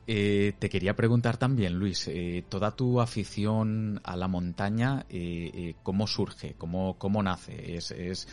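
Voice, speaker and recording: A man's voice: low-pitched, fairly even intonation, unhurried, hardly any pauses, a little mellow. A man hosting an informal, chatty interview, talking casually and encouragingly with his guest. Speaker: interviewer, host.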